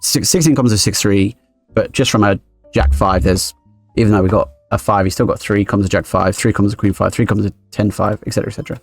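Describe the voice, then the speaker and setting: A man streaming his poker games live, thinking aloud quickly and analytically as he explains each decision. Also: on the low side, no echo, faint music behind.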